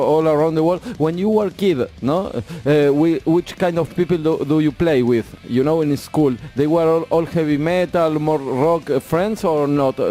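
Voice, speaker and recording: A man whose first language is Spanish, interviewing a band in halting English, searching for words and laughing often. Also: fairly high pitch, dark tone. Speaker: radio interviewer. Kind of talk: casual radio interview.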